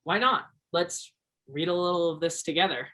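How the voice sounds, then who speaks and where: A man's voice: fairly high pitch, moderate pace, sharp tone. A man acts the role of a young Alaskan woodsman in a one-act play. He comes across as earnest and shy, with a hesitant, sincere way of speaking. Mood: keen. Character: earnest, shy young Alaskan backwoodsman who loves Shakespeare.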